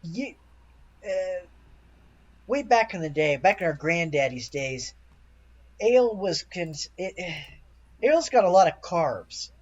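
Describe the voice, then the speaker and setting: A man playing a proud rustic townsman in a tabletop game, telling family lore in a halting, folksy way. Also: high-pitched, sing-song, muffled recording, exaggerated voice. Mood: earnest, reverent. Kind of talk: improvised tabletop roleplay.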